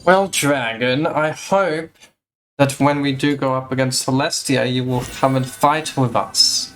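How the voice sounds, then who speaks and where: A woman's voice: a bass voice, unhurried, faint music behind, rough recording. A woman game streamer reacting casually and live to the gameplay.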